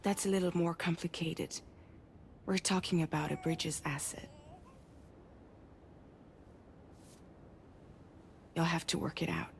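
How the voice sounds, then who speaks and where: A woman playing a grave, guarded leader of a courier group in a sci-fi game, speaking in a measured, businesslike way. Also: lowish voice, fairly even intonation, creaky, slight background noise. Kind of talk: cinematic game dialogue.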